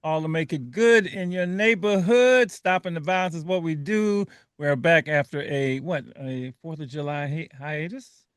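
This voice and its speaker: A man from Liberia hosting a community radio talk show, telling stories with lots of laughter and animated bursts. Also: a little high, moderate pace, clear, resonant tone, dry recording, studio quality. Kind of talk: casual radio chat.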